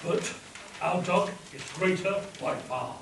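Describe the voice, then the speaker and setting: A man's voice: high voice, slow pace. A man playing a self-assured, loyal lawyer sidekick in a live spoof radio play. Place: convention hall before a live audience. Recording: echoey distant mic, audience noise.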